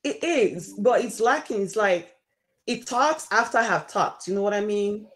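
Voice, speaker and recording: A woman's voice: mid-range voice, expressive, moderate pace. A young woman in her twenties with a thick Nigerian accent, chatting on a livestream and apologizing over phone trouble. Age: 20s. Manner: apologetic, flustered by tech trouble. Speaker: guest co-host. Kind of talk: casual livestream chat.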